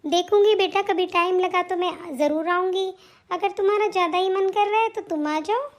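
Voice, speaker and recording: A woman's voice: very high voice, moderate pace. A woman voicing a long-suffering elderly mother-in-law in a family cartoon drama, meek and complaining. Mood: gentle, reassuring. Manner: plaintive, complaining, placating.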